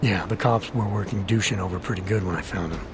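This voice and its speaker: An actor playing a calm, terse augmented agent with a dry manner in a video game cutscene. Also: male voice, sits low, fast, background noise. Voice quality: terse, gravelly calm delivery.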